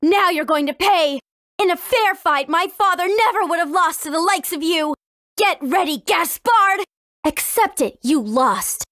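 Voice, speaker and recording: A woman voicing a brave, friendly teenage warrior princess in a video game, with an earnest, clearly spoken manner. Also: very high-pitched, animated, a little slow, a bit bright, no echo, studio quality, exaggerated voice. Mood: angry, defiant. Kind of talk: scripted video game character dialogue.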